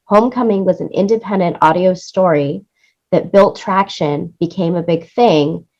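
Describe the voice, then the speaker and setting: A woman's voice: lowish voice, moderate pace, darkish tone, muffled recording. A woman in her late thirties talking casually in an interview, emphatic and repeating her points for stress. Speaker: interview guest, music supervisor and producer.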